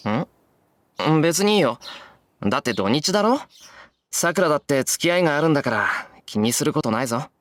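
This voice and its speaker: A man's voice: a little high, expressive, fast talker, no room sound, studio quality, lightly acted. A man voicing a plain-spoken, easygoing teenage schoolboy in a Japanese visual novel. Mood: easygoing reassurance.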